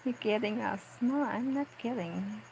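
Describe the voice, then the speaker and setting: A woman in her forties with a Filipino accent, chatting casually on a livestream as she reads viewer comments aloud and answers them in a playful, unhurried way. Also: on the high side, gravelly, darkish tone, slight background noise, low-quality recording. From Philippines. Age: 40s. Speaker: vlogger on a late-night livestream.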